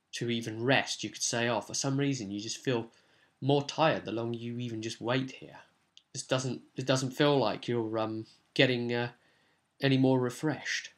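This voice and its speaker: A man with a mid-pitched voice talks informally as a game master recapping a tabletop session. His delivery is conversational and rambling, with sudden stresses on certain words. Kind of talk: informal vlog recap and advice on a tabletop role-playing session.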